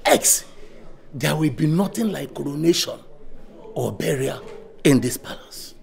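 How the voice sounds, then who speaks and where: An actor playing a stern palace elder who forcefully demands answers. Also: male voice, on the high side, lively intonation, unhurried, creaky.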